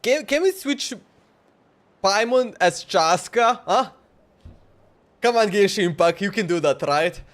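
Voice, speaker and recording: A man giving casual live commentary while gaming, halting and repeating words as he goes. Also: high-pitched, expressive. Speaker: game livestreamer. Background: faint game music.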